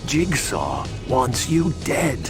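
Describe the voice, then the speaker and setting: A streetwise detective in a video game, with a mid-pitched, animated voice. His delivery is conversational and pointed, with music clearly audible underneath. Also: extremely slow, exaggerated voice. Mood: urgent. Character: streetwise male police detective briefing and questioning.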